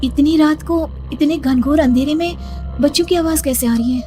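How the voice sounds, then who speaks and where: A woman's voice: sits high, moderate pace, music in the background, pitched above the performer's own voice, lightly acted. A woman voicing a frightened young pregnant woman who asks panicked questions in a horror cartoon. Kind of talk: animated horror story dialogue.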